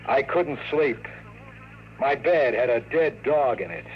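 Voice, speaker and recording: An actor playing a wisecracking, lonely private detective who also narrates, in a 1950s radio drama style. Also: male voice, medium pitch, animated, slow pace, highs cut off, a little hiss or hum. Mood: flippant, defiant. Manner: sardonic, quipping. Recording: vintage 1950s radio recording with music.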